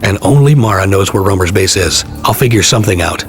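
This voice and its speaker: An actor playing a determined, blunt and defiant special agent in a video game cutscene. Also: male voice, sits low, lively intonation, quick pace. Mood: serious. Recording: loud music bed in game audio.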